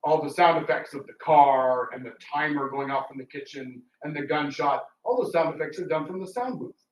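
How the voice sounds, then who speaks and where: A man's voice: on the high side, brisk, highs cut off. A man talking casually on a live panel as a radio theatre performer, chatty and theatrical in his storytelling. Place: indoor room, public talk at a library. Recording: roomy, distant mic with background noise.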